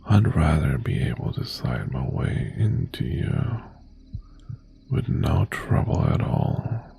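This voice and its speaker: Man voicing a very slow, gravelly mafia boss. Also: rumbling low, sing-song, a little hiss or hum, full character voice. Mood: coaxing, possessive. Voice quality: gravelly, breathy half-whisper. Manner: slow, deliberate, softly threatening. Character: obsessive, menacing male mafia boss ex-boyfriend, possessive yet tender. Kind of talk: boyfriend ASMR roleplay, intimate monologue.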